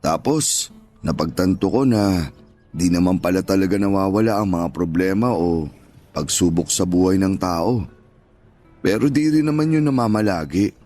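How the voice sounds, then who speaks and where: A man playing a kind, humble older Filipino street vendor and father, speaking gently and earnestly in a radio drama. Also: low voice, moderate pace, pauses often, natural voice. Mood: earnest, reassuring. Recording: music bed under the voice.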